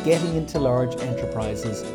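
Podcast host man over loud music. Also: mid-range voice, normal speed. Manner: hesitant, many fillers. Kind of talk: conference interview. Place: conference venue. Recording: music playing behind.

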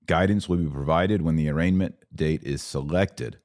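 A man hosting a podcast, giving political and religious commentary in a deliberate way with emphatic pauses. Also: very deep voice, a little mellow. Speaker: podcast host.

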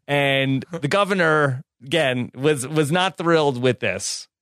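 A man's voice: a little high, lively intonation, takes it slowly, fairly bright tone, no echo, clean studio sound. A man hosting a casual recap podcast, chatting and passing questions to his co-hosts by name. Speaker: podcast host.

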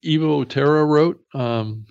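A man's voice: on the high side, slow, gravelly, darkish tone, smooth. A man co-hosting a podcast, casually discussing the industry. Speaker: podcast co-host. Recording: dull, slightly lo-fi audio.

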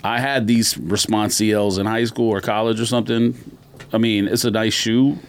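A man's voice: on the low side, little pitch movement, normal speed, slight background noise. A man chatting casually as a podcast host, blunt and argumentative in his opinions. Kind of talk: casual sneaker talk among friends.

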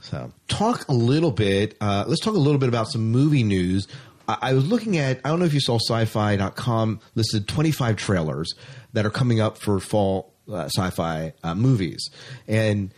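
A man's voice: fairly low pitch, expressive, moderate pace, a little mellow. A man co-hosting a podcast, chatting casually and enthusiastically about science fiction news. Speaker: podcast co-host. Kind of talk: casual sci-fi news chat.

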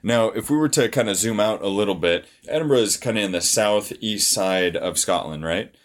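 A man hosting a travel chat, relaxed and conversational, asking his guests leading questions. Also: fairly low pitch, moderate pace. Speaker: podcast host.

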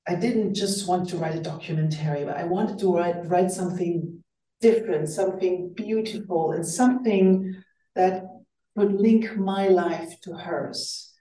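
A woman's voice: on the low side, measured pace, warm tone, echoey room, far from the mic, rough recording. A woman whose first language is German, speaking as an author in an earnest, reflective interview and often restarting her phrases. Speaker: author guest. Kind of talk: radio interview.

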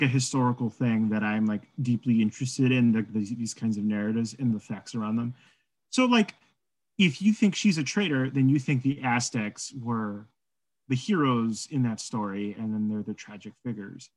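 Male podcast co-host, mid-pitched, informal and conversational, chatting through stories and history. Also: rough recording. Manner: informal, peppered with "like" and "right?". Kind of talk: casual conversational discussion of folklore and history.

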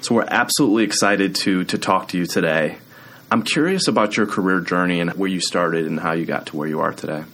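A man's voice: deep voice, brisk, a bit bright. A man hosting a business podcast, asking questions with brief, upbeat acknowledgments. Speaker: podcast host.

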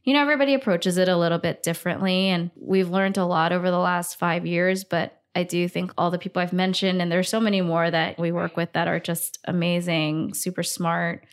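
A woman's voice: fairly low pitch, steady tone, brisk, hardly any pauses. A woman in her thirties from Singapore, a brand founder talking enthusiastically in a podcast interview. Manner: enthusiastic, upbeat, frequent fillers.

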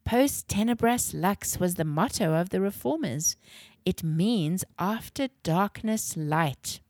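A woman's voice: fairly low pitch, lively intonation, takes it slowly, darkish tone, no echo, studio quality. A woman voicing an earnest, eager young girl who is curious about a mystery in a children's story.

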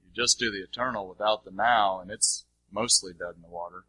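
A man with a husky voice answering questions as a teacher, in a colloquial, emphatic style. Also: on the low side, a little fast, sharp tone, low-quality recording. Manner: colloquial, emphatic, checks for understanding.

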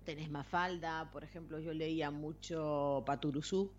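Argentine woman, muffled low-ish voice. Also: fairly even intonation, measured pace. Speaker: podcast co-host. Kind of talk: casual book chat. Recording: slightly muffled lo-fi audio.

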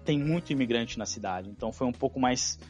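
A Brazilian man in his thirties, a guest in an interview, telling his story in a relaxed way with slang and fillers. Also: mid-range voice, brisk, faint music behind. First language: Portuguese. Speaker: podcast guest, engineering executive. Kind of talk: casual interview chat.

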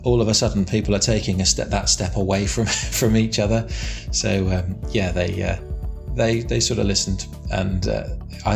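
A man with a big, very low voice and a gravelly edge, talking through his site work in a flat, steady tone. He rambles a little, restarting and repeating words as he goes. Soft music plays quietly under him. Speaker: construction site manager. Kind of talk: casual interview answers.

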